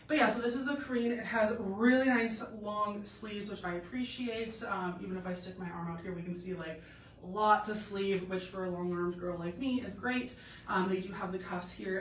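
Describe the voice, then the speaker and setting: A woman's voice: on the low side, a little hiss or hum. A young woman with a cold, sounding stuffed up and a bit nasal, chatting loosely with many fillers as she hosts a clothing try-on live stream from home. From Canada. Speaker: boutique live-stream host. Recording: echoey room, mic at a distance.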